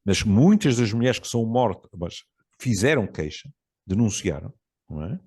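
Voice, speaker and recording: A man's voice: lowish voice, very animated, darkish tone, husky. Reflective Portuguese man in his seventies. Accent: European Portuguese. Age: 70s. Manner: storytelling, reflective, with frequent pauses and fillers. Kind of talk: casual conversation.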